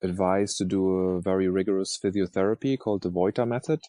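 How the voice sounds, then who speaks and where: A man's voice: sits low, fairly even intonation, quick pace, warm tone, no echo. A man from Germany, an artist guest, talking hesitantly with many restarts. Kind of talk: casual interview talk.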